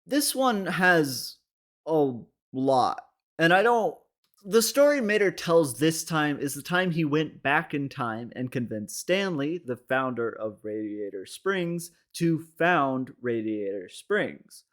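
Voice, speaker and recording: Wry man narrating a higher-pitched video essay. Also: big pitch swings, slow pace, fairly bright tone. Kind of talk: comedic video essay commentary on animated shorts.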